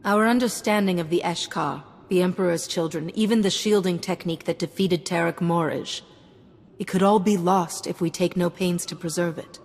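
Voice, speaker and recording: An actress playing a calm, dutiful female Jedi sage in a video game, speaking formally and measuredly in a reverberant space. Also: fairly low pitch, moderate pace. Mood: solemn, dutiful. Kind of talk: scripted video game dialogue. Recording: reverberant hall, background music.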